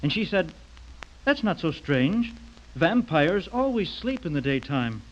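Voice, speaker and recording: A jovial, blunt middle-aged father in a radio play. His voice sits fairly high for a man and has a teasing, talkative manner. The recording is dull and lo-fi. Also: very animated, unhurried. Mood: sheepish. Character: chatty, tactless middle-aged father, insurance salesman. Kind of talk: radio drama acting.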